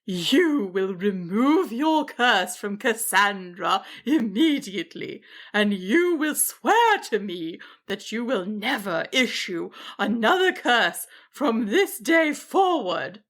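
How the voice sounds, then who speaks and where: A woman voicing a big, kind but fearsome female dragon in a storybook, drawn out and booming. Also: very animated, slow, hardly any pauses, fairly bright tone, full character voice. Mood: commanding.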